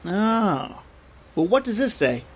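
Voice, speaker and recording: A male actor plays an eager, inquisitive sidekick in an old-time radio drama. His voice is high for a man and very animated, with a folksy, blunt way of talking. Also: takes it slowly, breathy edge, heavily acted. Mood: curious. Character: eager, naive, excitable male treasure-curious sidekick.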